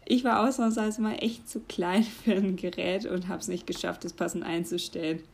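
A young woman, giving casual advice in a chatty way, often laughing and pausing with fillers. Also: fairly low pitch, fairly even intonation, vocal fry, a little mellow. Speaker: vlogger.

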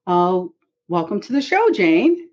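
A woman hosting a faith podcast, reading a measured introduction and then asking casual questions. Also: fairly low pitch, very animated, darkish tone, highs cut off. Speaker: podcast host. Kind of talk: scripted introduction and interview questions.

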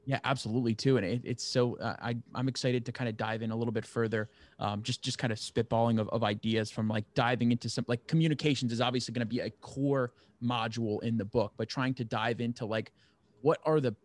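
A man's voice: medium pitch, steady tone, fast. A man interviewing in a casual, rambling way, thinking aloud as he forms his questions. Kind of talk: casual, loosely brainstormed interview chat.

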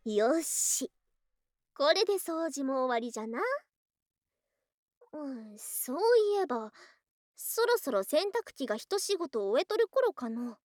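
An actress playing a proud, excitable, affectionate young female lamia wife in a voice drama, with archaic, haughty speech that easily gets flustered. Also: high voice, takes it slowly, long pauses, dry recording, studio quality, full character voice. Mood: content, musing. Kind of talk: Japanese situational ASMR voice drama.

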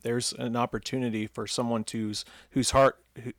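A man's voice: mid-range voice, little pitch movement, moderate pace, a bit bright, no room sound. Earnest man co-hosting, dry close sound. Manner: earnest, encouraging. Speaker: podcast co-host. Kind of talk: casual podcast talk.

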